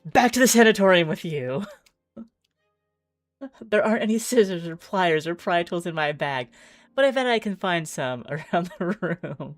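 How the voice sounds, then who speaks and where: Female game streamer, low register, chatty reader who thinks aloud; slightly roomy sound, faint music underneath. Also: animated, moderate pace. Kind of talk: game playthrough commentary, reading aloud.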